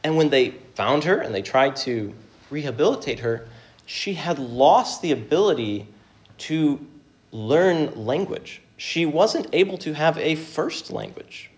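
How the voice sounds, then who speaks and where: A man preaching a sermon, reading scripture, praying and then teaching in an earnest, measured way with pauses. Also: a little high, animated, a little mellow. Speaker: pastor.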